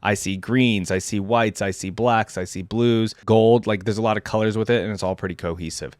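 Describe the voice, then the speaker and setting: A man's voice: fairly low pitch, fairly even intonation, brisk, no room sound, studio quality. A man giving casual, off-the-cuff reaction commentary as a video game host, rambling a little as he thinks aloud.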